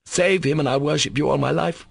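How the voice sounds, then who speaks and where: A man's voice: medium pitch, fast talker, fairly bright tone, husky. A man voicing a vindictive, bitter young woman in an audiobook, with a venomous edge. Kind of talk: audiobook character voice.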